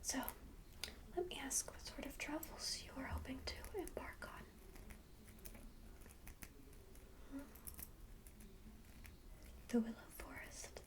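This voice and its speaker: Woman as a whispering, gentle cat-folk spellcaster. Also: high voice, a little slow, long pauses. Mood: musing, helpful, then gently cautioning. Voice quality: soft, breathy whisper. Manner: gentle, welcoming, rambling, musing. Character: kindly, chatty cat-like kit spellcaster, musical healer. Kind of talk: fantasy ASMR roleplay, addressing the listener.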